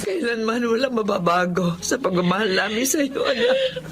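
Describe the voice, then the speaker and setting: A woman's voice: on the high side, big pitch swings, normal speed. An actress playing a devoted, forgiving middle-aged mother in a Filipino radio drama. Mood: tender, loving. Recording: dramatic music underneath.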